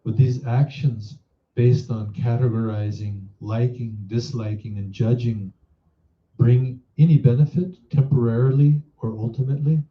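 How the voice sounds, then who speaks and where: Very slow-spoken man guiding meditation, roomy echo. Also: medium pitch, fairly even intonation. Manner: measured, instructive, posing reflective questions. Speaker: meditation teacher. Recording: roomy echo, dull muffled sound.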